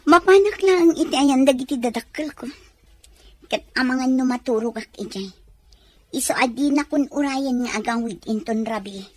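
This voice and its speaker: An actress playing a gentle, wistful woman in an audio drama, with a slightly husky voice. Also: sits high, normal speed. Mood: pleading, guarded. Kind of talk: Ilocano audio drama acting.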